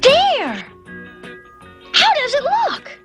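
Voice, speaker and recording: A woman playing an earnest, anxious young schoolgirl in a cartoon, with plaintive, expressive delivery. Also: very high voice, drawn-out words, full character voice. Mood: eager. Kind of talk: 1970s cartoon voice acting. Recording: loud music behind the voice.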